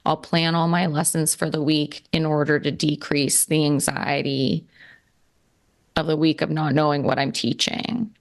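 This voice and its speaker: A woman's voice: low voice, little pitch movement, unhurried. A young adult woman co-hosting a podcast, talking in an earnest, reflective way with many fillers. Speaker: podcast co-host. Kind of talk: casual chat.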